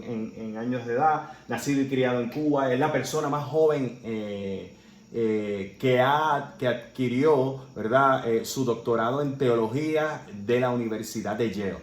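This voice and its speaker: A man teaching a Sunday Bible class in an earnest way, often checking in with his listeners. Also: medium pitch. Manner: earnest, with frequent "¿verdad?" and "eh" fillers. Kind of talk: Sunday school Bible lesson. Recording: roomy echo, faint background noise.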